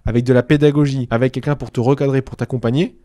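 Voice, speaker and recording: Fast-talking persuasive man in his thirties. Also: medium pitch, steady tone, mellow, no room sound, studio quality. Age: 30s. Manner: persuasive, addresses viewer directly, rhetorical questions. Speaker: real estate training channel host. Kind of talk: direct-to-camera advice monologue.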